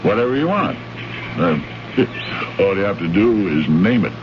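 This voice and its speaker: An American actor playing a brash, greedy, condescending trade envoy from Earth in an old radio drama, talking in slangy, bullying fashion. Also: male voice, on the low side, big pitch swings, unhurried. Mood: pushy, menacing. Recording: noisy, muffled old radio recording.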